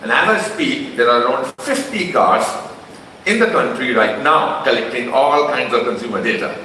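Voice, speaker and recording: A man's voice: medium pitch, expressive, moderate pace, pushed and bright, a little hiss or hum. A man with an Indian accent, a company executive giving a loose, conversational presentation at a car launch, often restarting his sentences. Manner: conversational, with frequent restarts and fillers. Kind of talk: unscripted presentation speech. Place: large launch event hall. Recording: echoey hall from a distant mic.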